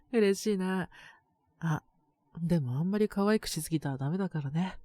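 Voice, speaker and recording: A woman playing a boyish, slightly mean but doting teenage girlfriend in a romantic audio drama, speaking in a soft, intimate, teasing way. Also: lowish voice, no room sound. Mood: affectionate. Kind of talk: Japanese romantic situation voice acting.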